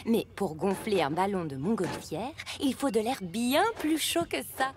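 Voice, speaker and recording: A woman's voice: mid-range voice, lively intonation, normal speed, lightly acted. A woman voicing a patient, encouraging female scientist in a children's cartoon, explaining things step by step. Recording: loud music behind the voice.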